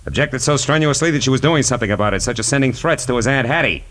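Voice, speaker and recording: An actor playing a tough, wisecracking American private detective in a 1940s radio noir drama, slangy and sardonic. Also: male voice, mid-range voice, lively intonation, fast, a bit bright, close to an everyday voice. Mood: accusing. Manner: hard-boiled, slangy, sardonic. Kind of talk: 1940s radio noir acting and first-person narration. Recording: 1940s radio recording with music.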